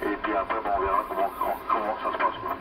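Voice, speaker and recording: A man's voice: fairly low pitch, level tone, very fast. A man chats casually over two-way radio, hesitating and repeating his phrases. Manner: hesitant, repetitive, tags phrases with filler words. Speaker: amateur radio operator. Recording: noisy, distant two-way radio transmission.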